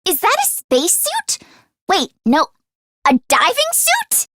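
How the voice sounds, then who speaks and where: A woman voicing an earnest, anxious teenage girl mechanic in an anime-style video game, expressive and shifting between timid and sassy. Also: very high voice, takes it slowly, no room sound, studio quality, exaggerated voice. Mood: bright, relieved. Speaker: voice actress.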